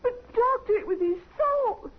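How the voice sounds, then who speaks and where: A tearful, high-voiced young widow. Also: female voice, lively intonation, unhurried. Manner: stammering, breathless pleading. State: tearful, near hysterical. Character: distraught young widowed innkeeper's wife. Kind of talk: 1950s radio drama acting. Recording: tinny old radio broadcast with faint hiss.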